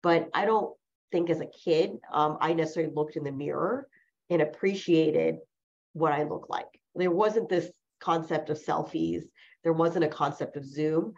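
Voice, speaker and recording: A woman's voice: low-pitched, normal speed, darkish tone. A woman in her forties, a lawyer who grew up speaking Chinese as her first language, telling personal stories in an interview with reflective, rambling phrasing and frequent self-corrections. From New Jersey, USA. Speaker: lawyer, guest. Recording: dull lo-fi recording.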